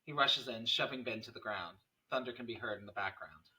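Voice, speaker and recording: A man narrates a screenplay at a table read. His mid-range voice is bright and crisp in tone, picked up a little way from the microphone. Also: medium pitch, a little fast, distant mic, poor audio. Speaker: narrator reading stage directions.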